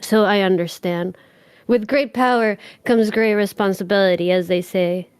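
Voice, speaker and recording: A woman voicing an earnest young male adventurer who speaks in a halting, sincere way. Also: mid-range voice, fairly even intonation, a little mellow, clean tone, no room sound, close to an everyday voice. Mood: solemn.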